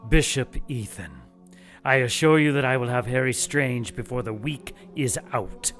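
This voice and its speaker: A cold and ruthless church leader, played by a man, addresses a secret council. His delivery is measured and commanding, with menace under formal, dramatic radio-play speech. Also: mid-range voice, a bit bright, soft background music, natural voice. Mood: controlled menace. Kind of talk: audio drama acting.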